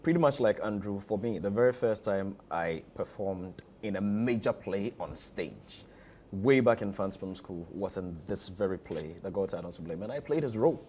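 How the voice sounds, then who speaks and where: A man's voice: lowish voice, expressive, normal speed, warm tone, slight background noise. A Ghanaian-accented man being interviewed as a theatre director, talking conversationally and leaning on repeated phrases for emphasis.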